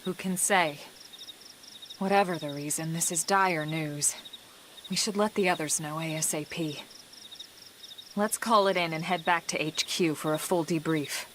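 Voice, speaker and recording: An actress playing a calm, diplomatic and resolute squad commander in a video game, with composed authority. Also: female voice, low-pitched, a little slow, pauses often, a little hiss or hum. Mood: grimly resolute. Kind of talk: video game cutscene acting. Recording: loud music behind. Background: loud game soundtrack music.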